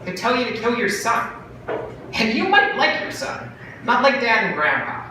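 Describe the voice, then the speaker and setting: A man voicing a fast-talking, wheedling brother pitching a bargain in a dramatic monologue from a short story. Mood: earnest, bitter. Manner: salesman-like, cajoling, animated. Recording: very echoey room, distant mic, noisy.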